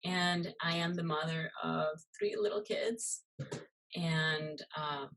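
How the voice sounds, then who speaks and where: A woman's voice: deep voice, steady tone, takes it slowly, vocal fry, a bit bright, slight background noise, low-quality recording. A woman in her thirties from Costa Rica, chatting casually as an interview guest, with lots of fillers and "you know". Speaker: guest, muralist and illustrator.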